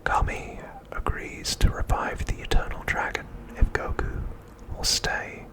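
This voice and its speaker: Slowly whispering man, ASMR narrator. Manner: soft, unhurried, deliberate phrasing with pauses. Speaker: host of an ASMR channel.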